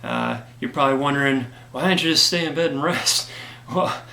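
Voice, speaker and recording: A man who created a comic gives an earnest, grateful update to his backers, hoarse from a cold and filling his talk with "uh". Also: mid-range voice, normal speed, pushed and bright, reverberant. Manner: earnest and grateful, many fillers. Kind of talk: casual direct-to-camera talk.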